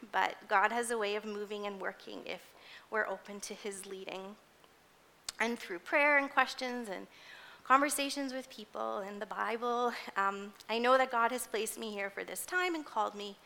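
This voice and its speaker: Woman, 40s, church lay speaker. Slightly high, bright voice; earnest, hesitant, conversational sermon delivery. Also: gravelly. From grew up in Indonesia as a missionary kid, later moved to Canada. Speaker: church music ministry leader giving a sermon. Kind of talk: personal, informal sermon to a congregation.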